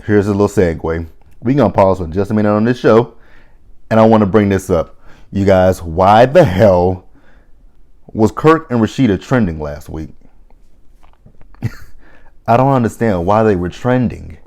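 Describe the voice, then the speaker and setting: Man, 30s, low voice; casual, opinionated TV recapper who rambles and curses. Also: animated, unhurried, long pauses, a little mellow. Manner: blunt, opinionated, swears freely; rambling with frequent restarts. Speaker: reality TV reviewer.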